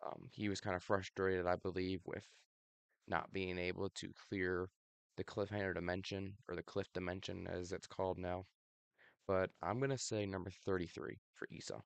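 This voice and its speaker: Low creaky man with allergy rasp. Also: normal speed, no room sound. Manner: relaxed, many ums, laughs at himself. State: slightly raspy from allergies. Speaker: video host. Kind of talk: casual predictions commentary.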